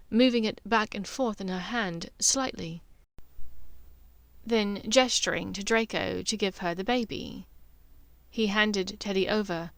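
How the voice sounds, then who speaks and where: A woman's voice: medium pitch, fairly bright tone, dry recording. A woman reading scripted fiction as an audiobook narrator. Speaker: author and narrator.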